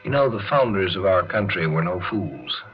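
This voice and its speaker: A dull old radio recording with clear music behind it features a male host. His voice sits fairly low, and he comments in a measured, philosophical 1950s broadcast manner. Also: animated.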